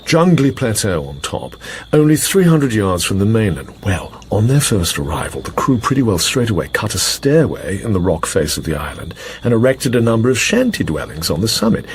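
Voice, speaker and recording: Male intelligence officer for radio drama: genial, expressive, conversational briefing, faint noise. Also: lowish voice, normal speed. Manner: conversational, musing, with hesitant asides. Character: chatty, genial British intelligence chief briefing a colleague.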